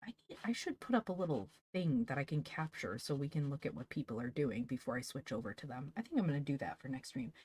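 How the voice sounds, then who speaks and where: A woman hosting a livestream, chatting casually and calmly with her viewers as if greeting a class. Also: very deep voice, quick pace, hardly any pauses, breathy edge. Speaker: livestream host reading stories aloud.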